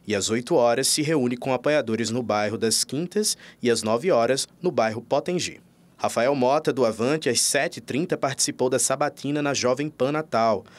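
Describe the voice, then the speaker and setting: A man reading a scripted news voice-over, listing items steadily. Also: medium pitch. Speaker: news narrator.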